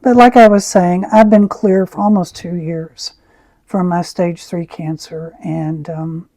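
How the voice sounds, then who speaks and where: A woman with a raspy voice, who often clears her throat as she chats through an art tutorial. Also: on the low side, steady tone, no echo. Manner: slow, soft-spoken, frequent throat clearing. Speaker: art channel host. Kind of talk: casual art tutorial chat.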